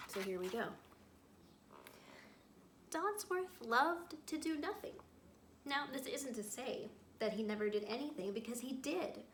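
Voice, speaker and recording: A woman, a school librarian, reading a picture book aloud to children, telling it expressively with little asides to her listeners. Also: fairly high pitch. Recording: roomy echo, clear background noise.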